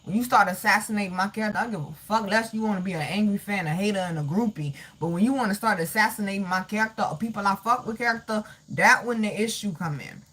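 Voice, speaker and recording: A woman's voice: medium pitch, fast talker, few pauses, a bit bright. A woman hosting a live tarot reading, talking bluntly and profanely in a sassy way, calling viewers honey and baby as she reads their comments. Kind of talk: casual livestream chat reading comments.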